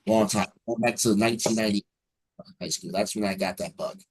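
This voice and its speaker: A man's voice: fairly low pitch, fairly even intonation, a little fast, breathy edge. A man in his forties from New Jersey, a radio news anchor telling his story as an interview guest in an upbeat, fluent way over a video call.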